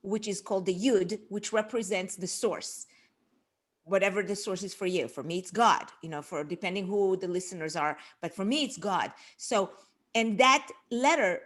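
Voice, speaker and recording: A middle-aged woman from Israel with an Israeli accent, chatting as a podcast guest in an expressive, laughing way. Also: medium pitch. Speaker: guest, life coach. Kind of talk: casual podcast chat.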